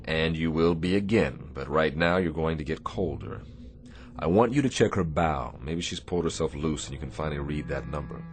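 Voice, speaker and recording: A man playing a commanding Navy destroyer captain in a radio drama, measured and dryly wry. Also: a bass voice. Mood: wry, encouraging.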